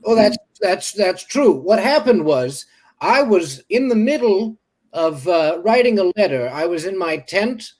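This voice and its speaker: A man's voice: high voice, very animated, measured pace, darkish tone, full character voice. A man playing a bewildered Civil War doctor who stammers and rambles in old-fashioned bluster. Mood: rambling. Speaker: guest. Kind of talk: improvised comedy character.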